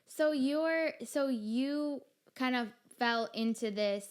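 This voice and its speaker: A young woman hosting a podcast interview, affirming her guest and asking gentle questions. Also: high voice, takes it slowly, clean tone. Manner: warm, affirming listener, asks gentle questions. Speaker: podcast host. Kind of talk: conversational interview.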